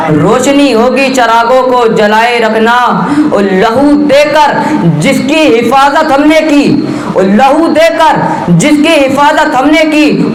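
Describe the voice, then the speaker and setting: A man giving a formal patriotic speech in a declamatory, impassioned manner, repeating lines for emphasis. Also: very high-pitched. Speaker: speech competition or event orator. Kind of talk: formal patriotic Urdu oratory. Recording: echoey public address, crowd behind.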